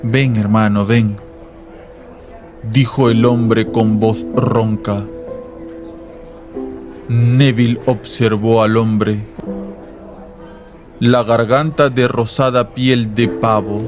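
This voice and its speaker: A man's voice: mid-range voice, level tone, drawn-out words, long pauses. A man narrating a scripted, dramatized story in a literary radio-drama style. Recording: voice over music and crowd noise. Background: music and crowd sounds.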